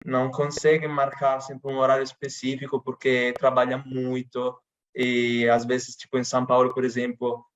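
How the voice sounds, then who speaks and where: A man from Italy speaking with an Italian accent, chatting casually in a podcast interview with many pauses and restarts. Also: medium pitch, monotone, muffled recording. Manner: hesitant, many restarts and fillers. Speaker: interviewee, channel host.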